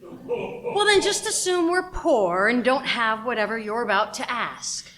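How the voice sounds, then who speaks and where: An actress playing an imperious, indignant radio station boss in a radio play, haughty and combative. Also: female voice, a little high, lively intonation, a little slow, a bit bright. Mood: curt, defensive. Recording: roomy, with faint background noise.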